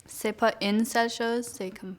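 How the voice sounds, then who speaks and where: A woman's voice: a little high, steady tone, unhurried. A young woman, answering casually in an interview in colloquial speech. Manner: colloquial, peppered with "comme".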